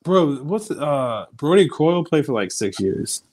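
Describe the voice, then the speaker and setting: A man co-hosting a sports podcast, talking in a relaxed, slangy way and calling his partner bro and dude. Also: fairly high pitch, a little slow, slight background noise. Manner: laid-back, slangy, says bro and dude. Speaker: podcast co-host. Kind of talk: casual sports chat.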